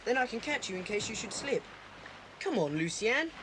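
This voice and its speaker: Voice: mid-range voice, very animated, a little fast, lightly acted. A performer playing a keen, responsible, protective boy in a 1980s audio drama. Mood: eager, protective. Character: keen, responsible boy leading younger children. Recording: noisy old cassette tape transfer.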